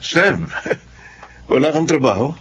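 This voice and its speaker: A man's voice: a little high, animated, slow pace, a little hiss or hum. A man acting a breezy, evasive older brother in a Filipino audio drama. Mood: casual, evasive. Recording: faint music behind.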